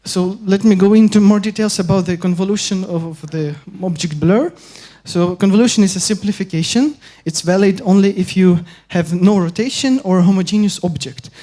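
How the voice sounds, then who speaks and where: A young man from Ukraine giving an academic conference talk, halting with frequent pauses and restarts. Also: high voice, warm tone. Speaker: conference speaker.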